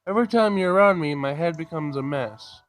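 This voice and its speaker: A man voicing a shy, earnest young man in a visual novel, speaking in a halting, hesitant way. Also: fairly high pitch, lively intonation, natural voice.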